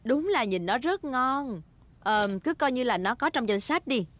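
Patient cartoon mum pig, high voice, animated, kindly, encouraging. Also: female voice, unhurried. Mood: questioning. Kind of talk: dubbed preschool cartoon acting. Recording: loud cartoon music behind.

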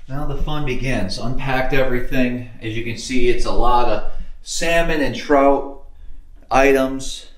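A man's voice: mid-range voice, unhurried. A man in his thirties hosting a fishing vlog, chatting casually with lots of fillers. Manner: relaxed, chatty, many fillers. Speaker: fishing channel host. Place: outdoors in a coastal salt marsh. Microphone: action camera held close. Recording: noisy outdoor camera audio.

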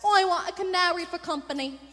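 A woman's voice: very high-pitched, fairly quick, fairly bright tone. A woman playing an indignant, demanding lady customer, complaining emphatically in a live radio play. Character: indignant, demanding female bird-shop customer. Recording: echoey theater hall.

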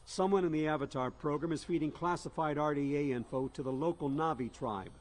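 An actor playing a gruff, stern military commander in a video game, blunt and commanding. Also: male voice, on the high side, a little fast, close to an everyday voice.

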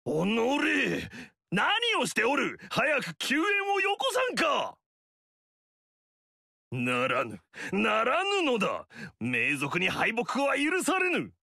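A haughty, self-praising demon-clan noble in a video game. His voice is bright and high for a man, with a gravelly edge. The recording is dry and close. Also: dry recording, full character voice. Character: pompous arrogant male demon-clan noble warlord. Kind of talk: video game battle lines.

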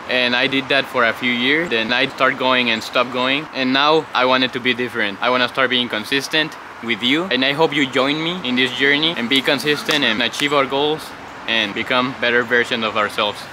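A man's voice: medium pitch, background noise. A man in his twenties talks casually to viewers and coaches them through his workout in an earnest, encouraging way. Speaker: fitness vlogger. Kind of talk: casual motivational vlog and workout instruction. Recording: loud music over the voice.

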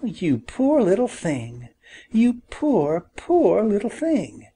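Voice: mid-range voice, big pitch swings, takes it slowly, a little mellow, breathy edge, pitched above the performer's own voice, full character voice. A reader voicing a young farm boy who fiercely scolds a hunter while protecting a wounded bird, in an audiobook. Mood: tender. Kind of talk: audiobook character voice.

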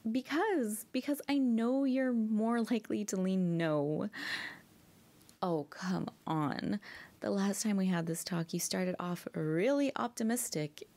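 A woman's voice: medium pitch, darkish tone. A young woman playing a bratty, pouting girlfriend in an ASMR audio roleplay, pleading and often dropping to whispers. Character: bratty pouting girlfriend begging her partner.

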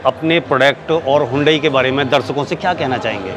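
A man's voice: mid-range voice, brisk. A man, hosting an on-location interview and affirming what he is told. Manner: repeats and affirms answers. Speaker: interviewer, channel host. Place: indoor trade fair booth. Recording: busy exhibition hall crowd, background music. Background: crowd chatter and music.

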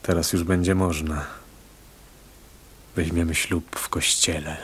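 An actor playing a humble, dreamy poor fisherman in a radio play, speaking quietly and resolutely in halting phrases. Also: deep voice, little pitch movement, slightly rough, full character voice. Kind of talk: radio drama acting.

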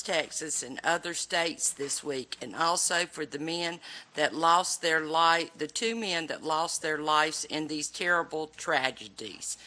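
An older woman with a hoarse cold voice, chairing a public board meeting formally, with many fillers, into a desk microphone in a large room. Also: on the low side, pushed and bright.

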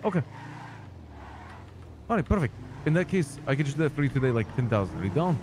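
Fast-talking young man making a sale. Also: medium pitch, sing-song, background noise. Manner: fast, salesman-like, swears casually. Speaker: game roleplayer selling computers. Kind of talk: casual gaming chat with friends.

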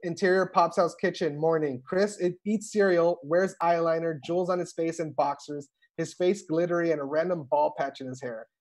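A man's voice: a little high, fairly even intonation, moderate pace, few pauses, darkish tone. Man reading screenplay stage directions. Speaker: table read narrator. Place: indoors at home on a video call. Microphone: video call microphone.

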